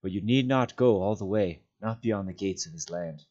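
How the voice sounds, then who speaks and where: A man's voice: sits low, warm tone, muffled recording. A man voicing an earnest hobbit master in a storybook reading, stern yet merciful, speaking firmly and solemnly. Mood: insistent. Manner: firm, solemn, measured.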